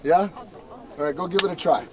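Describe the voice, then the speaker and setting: A man's voice: fairly high pitch, fairly quick, darkish tone. A man coaching a hands-on fighting seminar outdoors, often adding "right?" after short points. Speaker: combat coach. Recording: distant mic outdoors.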